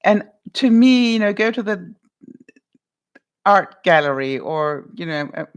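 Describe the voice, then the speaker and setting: A woman's voice: on the low side, unhurried, clean tone. Chatty woman with a voice that carries. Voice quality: loud voice that carries. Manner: chatty, lively, laughs often, talks to viewers by name. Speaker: vlogger answering live chat. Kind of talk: casual livestream chat.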